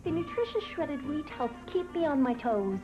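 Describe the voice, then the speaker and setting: A woman's voice: high-pitched, lively intonation, normal speed. A woman giving a testimonial in an old cereal commercial. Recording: dull old TV ad with music.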